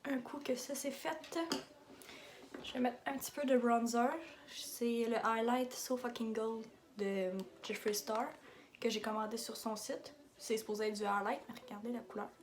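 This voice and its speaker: A young woman with a Québécois accent chats through a makeup tutorial in a slightly high voice, wandering into side comments and dropping English product words. A faint background noise sits under the recording. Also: steady tone, moderate pace. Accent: Québécois French. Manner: chatty, rambling asides with English makeup terms. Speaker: makeup vlogger.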